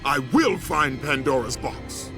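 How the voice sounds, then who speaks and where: A raging warrior for a game, booming and vengeful, really slow, pitch jumps all over. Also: male voice, expressive. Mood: defiant, vengeful. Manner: booming, snarling declamation. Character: furious, vengeful male warrior, brutal and commanding. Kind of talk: dramatic video game voice acting. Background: dramatic game music.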